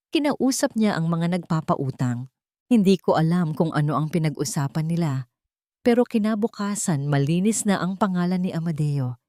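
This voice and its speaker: A woman's voice: lowish voice, dark tone, no room sound, close to an everyday voice. Filipina narrator doing kindly old filipino guard guy, grave, remembering old times, storybook drama, dry sound. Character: kindly old man, former casino guard, recalling the past.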